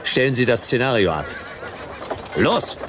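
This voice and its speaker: A man's voice: medium pitch, big pitch swings, measured pace, noisy background. A man playing a hard-boiled, impatient detective in a radio drama, curt and sarcastic. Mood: commanding.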